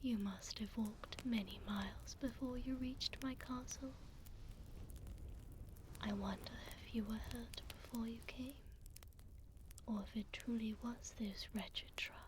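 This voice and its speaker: A woman's voice: fairly high pitch, steady tone, measured pace, background noise, lightly acted. A woman playing a regal, tender vampire duchess doting on a pup, whispering breathily into a close binaural mic in formal, old-fashioned phrasing for an ASMR roleplay. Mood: soft, soothing. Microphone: close binaural microphone.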